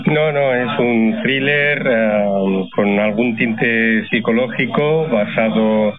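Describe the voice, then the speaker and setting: A retired man in his sixties whose first language is Catalan, a novelist guest telling his stories in a measured, thoughtful way. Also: medium pitch. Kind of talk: radio interview. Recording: phone-like radio broadcast with crowd behind. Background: crowd chatter.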